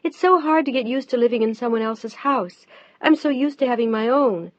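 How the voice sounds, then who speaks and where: A woman voicing a fierce, upset wife, loud, sharp and near tears. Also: fairly high pitch, a little fast, no echo, muffled recording, pitched above the performer's own voice, lightly acted. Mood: tearful.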